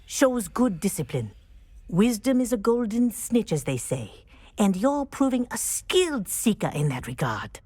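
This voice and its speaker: An actress playing a dignified, principled female professor in a game, speaking in a measured, precise, formal way. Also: mid-range voice, very animated, a little mellow, slightly rough, dry recording. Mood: instructive, approving. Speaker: professor and flying teacher. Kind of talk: scripted game dialogue, storytelling.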